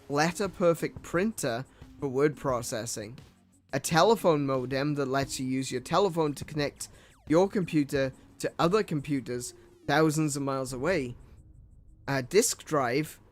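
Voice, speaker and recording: A young man and gaming streamer reading old computer ads aloud with his own commentary, tripping over words and laughing at himself. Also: a little high.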